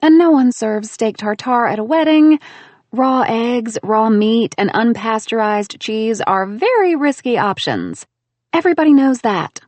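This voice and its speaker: A woman voicing a bossy young PR strategist who lectures in a crisp, emphatic way. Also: a little high, lively intonation, unhurried, a little mellow, no echo. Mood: lecturing.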